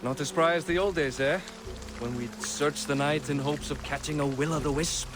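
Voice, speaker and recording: A man's voice: fairly high pitch, fairly even intonation, moderate pace, background noise, natural voice. An actor playing a genial but world-weary Viking king in a video game, with a wry, old-fashioned way of talking. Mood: nostalgic, teasing. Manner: wry, self-deprecating, old-fashioned phrasing. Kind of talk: dramatic video game acting. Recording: music behind the voice.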